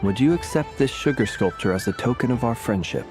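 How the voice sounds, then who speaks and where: A man's voice: mid-range voice, expressive, brisk, music in the background, lightly acted. A man voicing a courteous, whimsical talking lantern in a video game, speaking in a formal, gracious, theatrical way. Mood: warmly gracious.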